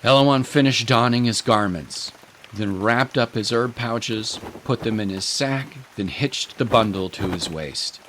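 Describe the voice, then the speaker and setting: A man's voice: mid-range voice, unhurried, fairly bright tone, a little hiss or hum, rough recording. A man reading scripted, dramatic story narration as the narrator of an audio drama.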